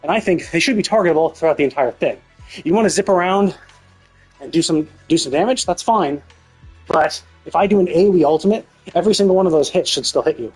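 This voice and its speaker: A male game streamer with a fairly high voice, talking off the cuff. He rambles through his opinions in loose, casual speech peppered with swearing, with music playing behind him. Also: quick pace, darkish tone, husky, low-quality recording. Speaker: live streamer.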